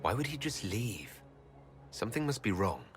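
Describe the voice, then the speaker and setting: An actor playing an earnest, modest elf hero in a fantasy video game, plain-spoken and direct. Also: male voice, lowish voice, sing-song, moderate pace, faint music behind. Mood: troubled. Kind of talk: dramatic video game dialogue.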